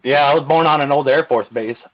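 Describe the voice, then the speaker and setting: A man's voice: fairly high pitch, fairly quick. A man joking in a casual group chat, often laughing at his own lines. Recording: dull lo-fi line with faint hiss.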